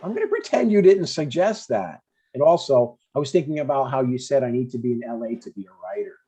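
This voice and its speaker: Man playing a mellow, husky older dad. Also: medium pitch, expressive, quick pace. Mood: earnest, defensive. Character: chatty, breezy, stubborn older father, a church deacon. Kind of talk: comedy script table read, naturalistic.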